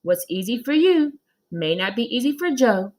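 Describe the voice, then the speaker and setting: A woman voicing a female schoolteacher in a children's storybook, patient but firm, correcting and instructing in a measured manner. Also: a little high, sing-song, a little mellow, pitched above the performer's own voice. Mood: instructive. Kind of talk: children's storybook read-aloud.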